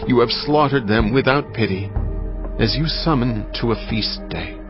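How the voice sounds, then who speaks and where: A man narrating scripture in a solemn, measured, dramatic style. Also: mid-range voice. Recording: muffled, with music behind. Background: music.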